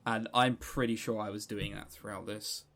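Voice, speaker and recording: A man co-hosting a podcast in casual, joking banter, rambling excitably and often stammering over repeated words. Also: fairly low pitch, moderate pace, creaky. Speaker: podcast co-host.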